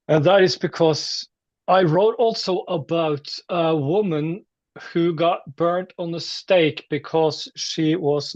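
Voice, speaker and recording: Norwegian male musician, interview guest. Higher-pitched voice, halting chatty delivery, dry but dull audio. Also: a little mellow, dry recording. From Norway. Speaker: metal musician being interviewed. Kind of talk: casual interview chat.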